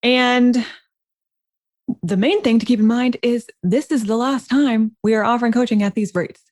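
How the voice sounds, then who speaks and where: A woman co-hosting a coaching podcast in casual chat, her voice slightly raspy from a lingering cough. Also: on the high side, normal speed, a little mellow. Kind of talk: casual chat between co-hosts.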